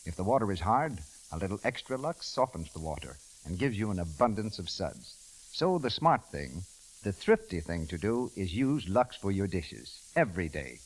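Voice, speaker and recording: Deep, husky, animated radio announcer. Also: male voice, moderate pace, poor audio. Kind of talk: 1930s scripted commercial narration.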